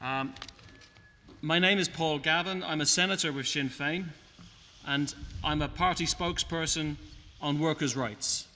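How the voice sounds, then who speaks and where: A man with an English accent giving a measured speech as a guest speaker at a political panel, pausing with ums. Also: on the high side, pushed and bright, long reverb tail, slight background noise. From born in England, Irish. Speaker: guest speaker, Irish senator.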